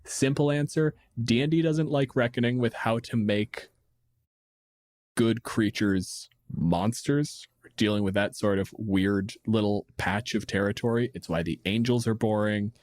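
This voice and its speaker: A man's voice: fairly low pitch, unhurried, a little mellow, dry recording. A man chatting casually as a podcast host, riffing on game monsters in a dry, blunt way and swearing freely. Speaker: podcast host answering listener questions.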